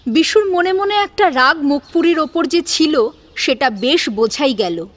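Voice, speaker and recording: A woman's voice: high-pitched, animated, a little slow. A woman narrating a scripted story in a dramatic storytelling style. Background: background music.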